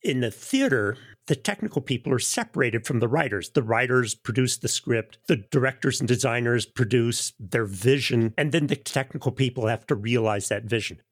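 A man's voice: mid-range voice, normal speed, hardly any pauses, slightly rough, dry recording, clean studio sound. A man speaking as a sound editor in a conversational, anecdotal interview, acting out his own remarks. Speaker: audio drama technical director and sound editor.

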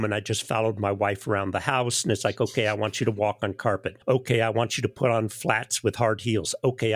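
A man's voice: lowish voice, no echo, clean studio sound. A man speaking as a sound editor in a conversational, anecdotal interview, acting out his own remarks. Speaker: audio drama technical director and sound editor.

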